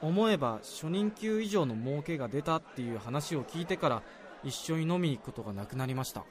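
Casting: male actor as junior salaryman, higher-pitched young voice, apologetic and sincere, confiding in a senior, radio drama. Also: animated, a little fast, natural voice. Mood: regretful. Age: young adult. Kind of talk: Japanese radio drama dialogue.

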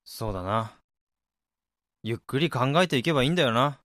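A man's voice: mid-range voice, lively intonation, brisk, a bit bright, dry recording, clean studio sound, close to an everyday voice. A man, around his thirties, playing a wistful, blunt, introspective man in a radio drama and narrating his reflections. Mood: pensive. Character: wistful man unsure whether he became an ideal adult.

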